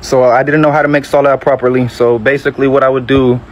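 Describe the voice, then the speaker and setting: A man, giving a measured, reflective personal testimony and often correcting himself. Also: medium pitch, little pitch movement, slight background noise, low-quality recording. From Metro Atlanta, Georgia, USA. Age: early 20s.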